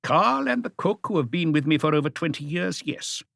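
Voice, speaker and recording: Man voicing a haughty, guarded wealthy gentleman, raised pitch. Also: lively intonation, normal speed, creaky, no echo, studio quality, full character voice. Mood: measured. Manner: polite, defensive, indignant. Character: wealthy Dutch art collector, guarded, haughty, evasive man. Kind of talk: audiobook character dialogue.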